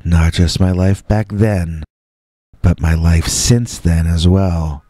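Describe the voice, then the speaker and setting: A man with a very low, dark voice tells a scary story in the first person. He reads slowly and deliberately, leaving dramatic pauses between short phrases. The recording is close and very dry. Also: steady tone, dry recording. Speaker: storyteller, host reading listener stories as a Bigfoot persona.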